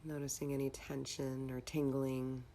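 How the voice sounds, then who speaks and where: A woman leading a guided meditation in a calm, measured, instructional manner. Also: a bass voice, slight background noise.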